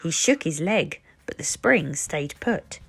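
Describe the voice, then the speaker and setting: Unhurried, animated woman storyteller. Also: sits low, vocal fry, slightly rough, faint music behind. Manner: animated, deliberate. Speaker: children's book reader. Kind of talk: expressive read-aloud storytelling.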